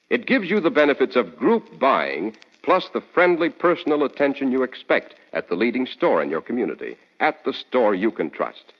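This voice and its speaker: A man announcing and hosting a scripted 1940s radio show with polished, theatrical, salesmanlike delivery. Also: mid-range voice. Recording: muffled vintage radio broadcast, reverberant, music behind.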